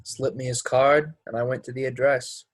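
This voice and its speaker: A man's voice: mid-range voice, flat delivery, moderate pace, no echo, natural voice. A man playing a brash, resentful young actor in a play reading, speaking in a blunt, casual, clipped way. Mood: casual, aloof. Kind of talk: play readthrough acting.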